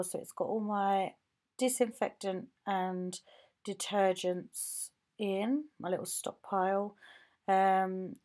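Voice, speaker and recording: A woman's voice: medium pitch, darkish tone. A woman nail technician from the UK, chatting casually as she shows her home studio on a handheld phone, rambling with lots of ums and likes. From United Kingdom. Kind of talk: casual show-and-tell vlog.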